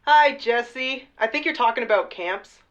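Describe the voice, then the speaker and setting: A woman's voice: fairly high pitch, animated, a little slow. Woman playing a wry journalist, slightly muffled. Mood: wry, relaxed. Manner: casual, wry. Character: casual, wry female journalist guest. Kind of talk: conversational podcast banter in a radio play. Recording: slightly dull, lo-fi remote recording.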